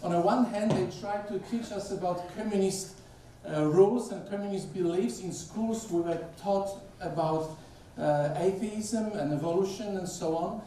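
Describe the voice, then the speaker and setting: A man's voice: sits high, normal speed, mellow, recorded from a distance, a little hiss or hum. A middle-aged man from Poland with a Polish accent, giving an informal sermon as a guest speaker and chatting with the congregation. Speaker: guest speaker, theology professor. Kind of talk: conversational sermon. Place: church sanctuary. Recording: echoey church hall, picked up from afar.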